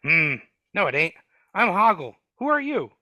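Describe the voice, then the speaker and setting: Grumpy dwarf played by a man, gruff, cagey, lively pitch. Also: moderate pace, no room sound, studio quality, heavily acted. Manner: grumbling, cagey, rough working-class speech. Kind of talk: live dramatic reading over video call. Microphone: video call.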